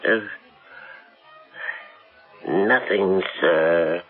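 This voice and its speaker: An actor playing a formal, hesitant and deferential manservant in a radio drama. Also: male voice, deep voice, extremely slow, full character voice. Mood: uneasy, hesitant. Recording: tinny phone-like radio recording with music.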